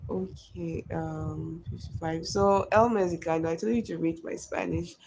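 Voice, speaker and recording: Creaky-voiced woman streamer. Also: on the low side, steady tone, normal speed, a little mellow, slight background noise. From Nigeria. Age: 20s. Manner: chatty, answers comments one by one. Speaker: live streamer. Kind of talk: live stream chat, reading viewer comments.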